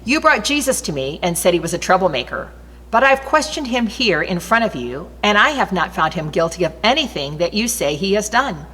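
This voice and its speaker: A woman's voice: medium pitch, very animated, brisk, a bit bright, slight background noise. A woman reading the part of a male Roman governor reasoning and protesting before a crowd in a Bible story. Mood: firm, reasoning. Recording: long reverberant hall echo.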